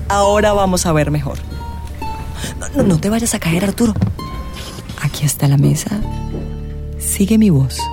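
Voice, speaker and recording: An actress playing an eccentric, gushing and flirtatious witchy astrologer in a radio drama, with dramatic, suspenseful phrasing. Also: lowish voice. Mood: brisk, mysterious. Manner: dramatic, suspenseful drawn-out delivery with whispers. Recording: music playing behind the voice.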